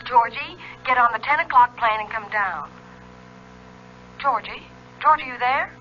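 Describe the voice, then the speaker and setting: Bright, demanding wife. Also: female voice, a little high, a little slow. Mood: insistent. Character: brisk, demanding, teasing rich wife. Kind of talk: 1970s radio drama acting. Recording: lo-fi radio, phone call, faint hum.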